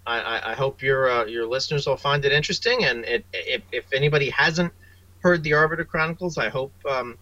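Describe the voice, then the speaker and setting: A man speaking as a writer guest in a casual interview, halting and repeating words. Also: mid-range voice, bright, forceful tone, no echo, lo-fi sound.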